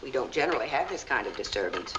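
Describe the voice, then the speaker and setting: Efficient, chatty nurse, 1940s film. Rapid, polite delivery with slight soundtrack noise. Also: female voice, rumbling low, sing-song, a bit bright, full character voice. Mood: soothing, courteous. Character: efficient, chatty female nurse, courteous and soothing. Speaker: actress.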